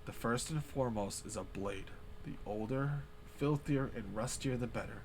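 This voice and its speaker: A man voicing the unseen author of a grim ritual journal, reading slowly in a hushed, ominous low voice. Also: slight background noise, close to an everyday voice, rough recording.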